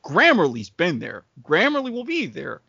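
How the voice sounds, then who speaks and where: Man, podcast co-host, highly animated intonation, emphatic, wordy, salesman-like, casual, lo-fi dry sound. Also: normal speed, gravelly, breathy edge, no echo. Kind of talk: casual banter and ad-libbed sponsor read.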